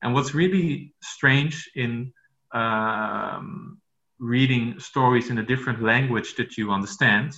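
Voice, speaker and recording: A Dutch-born man speaking English as his second language, pausing often and restarting his sentences. His voice has a bright, forceful edge. It sounds roomy and a little dull, as if recorded remotely. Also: mid-range voice, creaky. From Netherlands. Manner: hesitant, many fillers and restarts. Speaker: author guest. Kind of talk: podcast interview.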